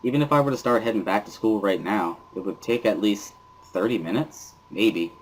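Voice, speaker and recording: A man's voice: fairly low pitch, moderate pace, a little hiss or hum, rough recording. A man giving an amateur dramatic reading of first-person narration from a visual novel. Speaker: narrator and reader. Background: music.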